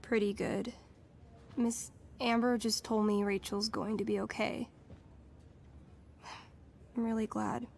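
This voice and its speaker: A woman's voice: fairly high pitch, little pitch movement, slow pace, gravelly, pauses often, a little mellow, slight background noise. A woman playing a friendly, caring teenage girl in a video game. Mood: relieved, friendly. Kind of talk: naturalistic video game acting.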